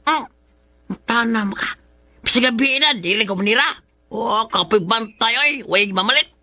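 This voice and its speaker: Shrill, grumbling villain's sidekick. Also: male voice, a little slow, bright, forceful tone, full character voice. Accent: Filipino. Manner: chiding, grumbling. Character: exasperated sidekick of a villain, mocking and complaining. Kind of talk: Filipino radio drama acting.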